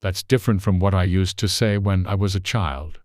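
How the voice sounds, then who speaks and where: A narrator plays a storybook griffin with a deep, low voice. The beast is a solemn know-it-all, brusque and easily impatient. The recording is very dry. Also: normal speed, no room sound, close to an everyday voice.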